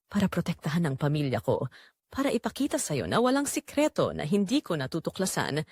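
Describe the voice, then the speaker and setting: A woman voicing a cold, vengeful husband in a narrated romance drama, speaking slowly and deliberately without emotion. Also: sits low, huge pitch swings, a little mellow, slightly rough, no echo, studio quality, exaggerated voice. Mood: cold, merciless. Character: cold, vengeful betrayed husband, controlled and merciless.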